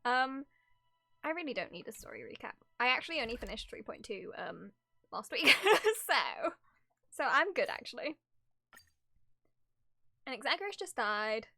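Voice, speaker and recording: A woman's voice: a little high, big pitch swings, normal speed, gravelly, long pauses, bright, forceful tone, no room sound, clean studio sound. A woman livestreamer with a giggly, playful manner, chatting casually and reading game story aloud as she reacts. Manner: giggly, playful, easily sidetracked. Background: game music.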